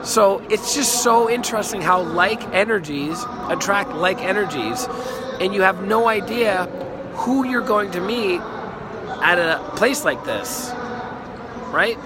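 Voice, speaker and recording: A man, hoarse from a cold, chatting enthusiastically on a live stream and repeating words as he talks. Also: sits high, lively intonation, measured pace, very noisy. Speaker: life coach and healer. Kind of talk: casual live stream monologue. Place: outdoors in a city. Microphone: phone.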